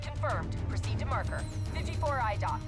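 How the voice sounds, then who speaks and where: A woman's voice: a little high, big pitch swings, normal speed, over loud music. A woman playing a composed military intelligence operative in a video game, with a clipped, businesslike delivery. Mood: focused. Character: brisk, composed female military intelligence operative on mission comms. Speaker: mission handler. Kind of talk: video game acting, tactical briefing.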